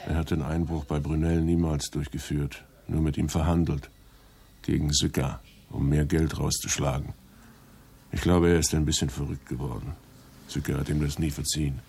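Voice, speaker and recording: A man playing a grim gangster with an old grudge, speaking in a laconic, halting way in a German radio drama. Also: very deep voice, little pitch movement, gravelly, dark tone. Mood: grim, guarded.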